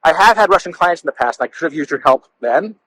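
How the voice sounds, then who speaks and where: A man's voice: fairly high pitch, expressive, fast, pushed and bright, rough recording. A man hosting a casual walk-and-talk interview, speaking in a gushing, effusive way. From California, lived in the Bay Area. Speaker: interview show host.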